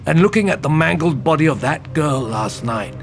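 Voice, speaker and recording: A man playing a gruff, blunt, foul-mouthed senior police detective with a gravelly voice in an audio drama. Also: a little high, lively intonation, normal speed, faint music behind. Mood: intense, persuading. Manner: blunt, persuasive, intense.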